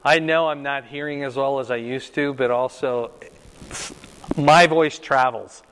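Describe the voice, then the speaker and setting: An older man with a loud voice leading a Bible class, quizzing his group and pressing them to answer. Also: mid-range voice, fairly even intonation, a little slow, a little hiss or hum. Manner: questioning, repeats and presses for answers. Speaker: Bible study teacher. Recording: reverberant room.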